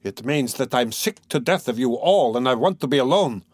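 A man reading an audiobook as a feverish, irritable young man, defiant and frantic, between quiet venom and pleading. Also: a little high, big pitch swings, normal speed, dry recording, voice pushed up. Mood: cold, irritated. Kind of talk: dramatised audiobook dialogue.

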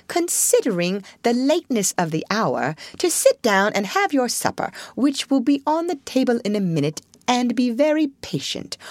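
Woman as an earnest, high-pitched storybook rat. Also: no room sound. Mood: appeased, advising. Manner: earnest, reproachful, fussily proper. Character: good-natured, sensible, scolding male water rat friend.

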